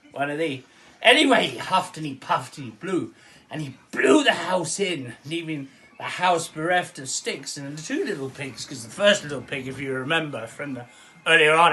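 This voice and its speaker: A man's voice: a little high, bright, forceful tone. A man telling a rambling comic bedtime story, chuckling and wandering into asides.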